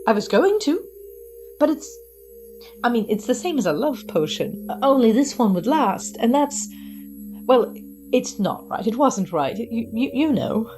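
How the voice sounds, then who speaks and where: A woman's voice: a little high, big pitch swings, unhurried, a little mellow, a little hiss or hum. A woman playing an earnest, lovestruck young wizard's apprentice with a hesitant manner in an audio drama. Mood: ashamed, nervous. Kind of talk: scripted audio drama dialogue.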